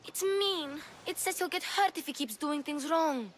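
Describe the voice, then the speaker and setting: A woman's voice: sits high, normal speed, slight background noise, close to an everyday voice. A woman voicing an eager young girl, a plucky sidekick in a video game. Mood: eager, pleading.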